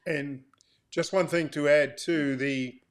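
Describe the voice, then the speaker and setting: A man's voice: fairly high pitch, fairly even intonation, takes it slowly. An older man co-hosting as an author, giving practical information in an informal, matter-of-fact way. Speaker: author co-hosting a welcome video.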